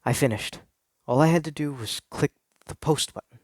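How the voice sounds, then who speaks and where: A man's voice: on the high side, normal speed, no echo. Theatrical man narrating, dry close sound. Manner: theatrical, earnest confessional delivery. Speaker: narrator reading a story. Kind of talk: dramatic first-person story narration.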